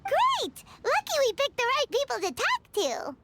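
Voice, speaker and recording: Very high squeaky fairy companion. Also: female voice, wildly swooping pitch, a little slow, very noisy, heavily acted. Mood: excited, cheering. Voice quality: squeaky, childlike. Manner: refers to herself in third person, exclamatory. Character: tiny excitable fairy-like girl companion, cheerful and childlike. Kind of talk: anime video game voice acting.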